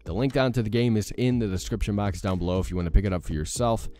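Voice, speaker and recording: A young man with a deep morning voice hosting a let's play, chatting casually with lots of fillers. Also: fast, darkish tone, soft background music. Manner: rambling, many fillers, self-deprecating. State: morning voice with a crack. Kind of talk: casual gameplay commentary.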